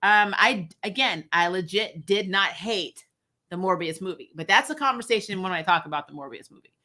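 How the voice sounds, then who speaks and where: A woman's voice: medium pitch, lively intonation, moderate pace, bright, forceful tone. A woman hosting a casual film chat, talking in a blunt, sarcastic, slangy way. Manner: blunt, sarcastic, slangy, laughs through stories. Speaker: channel host reviewing films. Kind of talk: casual opinionated film discussion.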